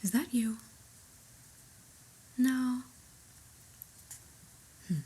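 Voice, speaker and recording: Slow, whispering woman as an unimpressed boss. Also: sits high, poor audio. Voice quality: breathy whisper. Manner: deliberate, mildly stern, deadpan. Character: unimpressed new office boss, fussy and exasperated. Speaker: ASMR roleplay performer. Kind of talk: whispered ASMR roleplay monologue.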